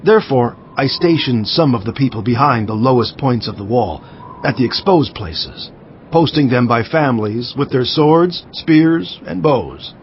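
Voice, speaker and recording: Solemn biblical governor, sweeping delivery. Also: male voice, mid-range voice, expressive, a little slow, highs cut off, slight background noise. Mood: solemn, steady. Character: resolute, indignant biblical governor recounting his own deeds. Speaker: narrator of dramatized audio Bible. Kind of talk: dramatized scripture narration.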